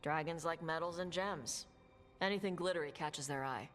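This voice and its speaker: A woman playing a blunt, laconic young dragon hunter and treasure seeker in a video game, talking gruffly and informally. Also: sits low, normal speed, a little hiss or hum, lightly acted. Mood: matter-of-fact. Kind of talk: video game dialogue acting. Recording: music under the dialogue. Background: game music.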